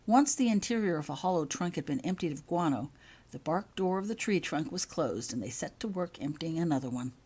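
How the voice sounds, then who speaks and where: Low-pitched, very animated woman storyteller. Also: a little fast, husky. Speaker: author reading own story. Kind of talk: expressive storybook narration.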